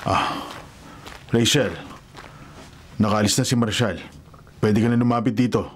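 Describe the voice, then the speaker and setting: An actor with a Filipino accent playing an approving, genial middle-aged father and boss in a radio drama, measured and authoritative. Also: lowish voice, steady tone. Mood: genial, curious.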